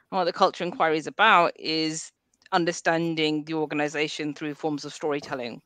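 Calm woman explaining, dry sound. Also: low voice, dry recording. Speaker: panel guest, civil service culture specialist. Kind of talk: explaining in a panel discussion.

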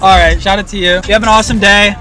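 A young man with a high voice talks in hyped, slangy bragging. He is filming a casual prank vlog from a car at drive-thrus, picked up by a camera mic with music playing behind him. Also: little pitch movement, racing. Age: young adult. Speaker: prankster vlogger. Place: in a car at drive-thru windows, outdoors. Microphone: camera mic in or beside the car. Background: music, car and vehicle sounds.

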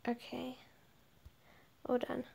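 A woman's voice: high-pitched, completely flat delivery, takes it slowly, creaky, a little mellow. A young woman giving casual commentary as she builds in a game, thinking aloud and often murmuring under her breath. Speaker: gaming video creator. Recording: noisy home recording with clicks.

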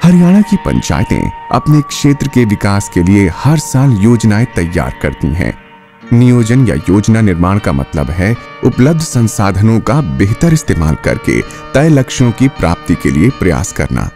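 A man reading scripted documentary narration in a formal, measured, explanatory manner. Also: lowish voice, very animated, warm tone. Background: background music.

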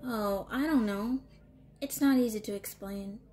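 A woman voicing an anxious, lovestruck teenage schoolgirl in a comic dub, flustered and expressive. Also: medium pitch, unhurried, music in the background, lightly acted. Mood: flustered, downcast. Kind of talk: animated-style comic dub acting.

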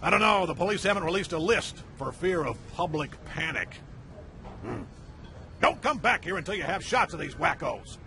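An actor playing a demanding, brusque newspaper boss in a video game, barking out orders. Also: male voice, a little high, animated, moderate pace, gravelly, bright, forceful tone, a little hiss or hum, exaggerated voice. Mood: impatient, bossy.

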